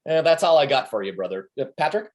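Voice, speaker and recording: A man acts out a cocky tour manager in a stage comedy reading. His fairly high voice swings widely in pitch. He is crude and bossy, handing down advice like a self-styled expert. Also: big pitch swings, quick pace. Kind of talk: comedy play table reading. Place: remote reading over a video call.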